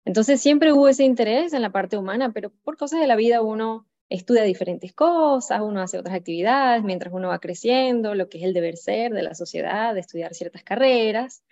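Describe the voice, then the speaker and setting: Reflective Venezuelan woman, mellow voice. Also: medium pitch, brisk, hardly any pauses, dull audio. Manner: reflective, many fillers and restarts. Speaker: guest. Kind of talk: personal storytelling interview.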